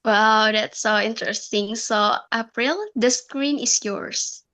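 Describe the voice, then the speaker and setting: A woman's voice: a little high, steady tone, slow, bright, forceful tone. A young Indonesian woman, a student, asking interview questions in English with a hesitant manner and many fillers. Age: young adult.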